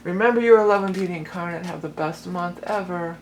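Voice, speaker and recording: A woman with a lowered voice, doing a chatty, rambling tarot reading for her vlog, full of fillers and restarts. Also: fairly quick, slight background noise. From Cleveland, Ohio. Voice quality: lower voice than she once had. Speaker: tarot reader and musician.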